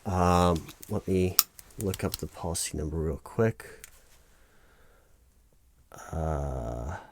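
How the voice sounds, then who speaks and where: A man's voice: a bass voice, animated, slow, a little mellow, slight background noise, voice pushed down, full character voice. A man playing a flustered, apologetic office clerk in a one-sided ASMR roleplay, hesitant and rambling as he corrects himself. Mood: flustered, distracted. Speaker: claims paperwork interviewer.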